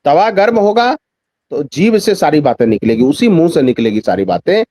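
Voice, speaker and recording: A man's voice: a little high, big pitch swings, moderate pace, warm tone, breathy edge, no room sound, clean studio sound. A man speaking as a guest commentator in a political discussion, emphatic and repeating phrases for rhetorical effect.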